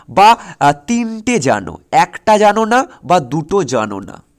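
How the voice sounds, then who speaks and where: A man coaching school students for exams in an emphatic, directive way, repeating his instructions and checking that they follow. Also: sits high. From West Bengal, India. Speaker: school exam tutor.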